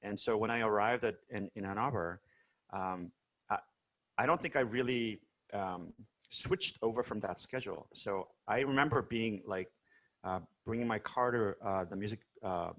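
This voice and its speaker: Low-voiced man telling stories, full of fillers. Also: moderate pace. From South Korea. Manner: relaxed storytelling with many fillers and restarts. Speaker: guest musician. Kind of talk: casual conversational interview.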